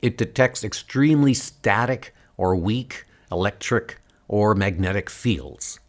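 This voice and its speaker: A man's voice: lowish voice, a little slow, natural voice. Man as Steel, an anguished inventor hero. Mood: worried, determined. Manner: earnest, worried. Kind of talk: comic book audio drama. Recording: music under the voice. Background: music.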